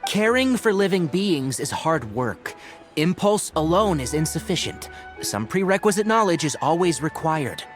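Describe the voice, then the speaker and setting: Young naturalist, scholarly, lightly pitched, dry, didactic, anime game, music behind. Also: male voice, big pitch swings, normal speed. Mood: instructive.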